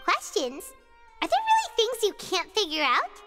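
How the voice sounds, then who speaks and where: A woman voicing a small, curious, blunt and excitable fairy companion with a squeaky childlike voice in a video game. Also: unhurried, heavily acted. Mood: hesitant, curious. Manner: refers to self in third person, expressive. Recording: loud music behind the voice.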